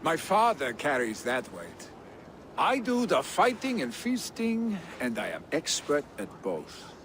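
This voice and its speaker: An actor playing a brash, carefree young Viking warrior in a video game cutscene, boastful and defiant. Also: male voice, fairly high pitch, huge pitch swings, measured pace, fairly bright tone, full character voice. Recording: noisy game ambience behind dialogue.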